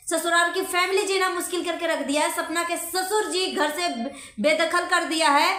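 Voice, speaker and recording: A woman's voice: very high-pitched, bright, forceful tone. A woman vlogger delivering an impassioned, indignant rant straight to the listener, scolding and repeating phrases for emphasis. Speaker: vlogger commenting on a social media dispute. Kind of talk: impassioned direct-address rant. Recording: echoey room.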